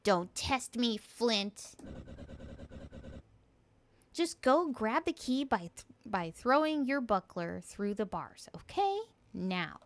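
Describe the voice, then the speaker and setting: A woman's voice: on the high side, animated, slow, pauses often. A woman voicing a proud, arrogant dragon in video game dialogue, with a boastful, threatening manner. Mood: haughty. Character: haughty, proud dragon who lost its powers.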